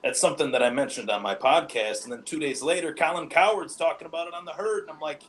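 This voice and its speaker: A man's voice: fairly high pitch, fast, fairly bright tone. A man talking as a guest on a casual sports podcast, cocky and playful, with faint noise behind him.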